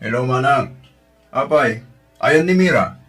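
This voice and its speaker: A stern uncle in a radio drama, mid-range voice, firm and accusing, bit of music behind. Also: male voice, medium pitch, expressive, unhurried, fairly bright tone. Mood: suspicious, displeased. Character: stern, accusing uncle who owns a restaurant. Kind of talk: radio drama acting in Ilocano.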